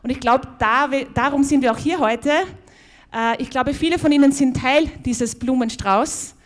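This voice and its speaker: A middle-aged woman with a Viennese accent welcomes a conference crowd as host. Her voice sits a little high, and she talks freely with plenty of "ähm"s and self-corrections. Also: steady tone, moderate pace. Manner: friendly, loose spoken welcome with many fillers and restarts. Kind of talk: opening speech at a conference. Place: conference hall.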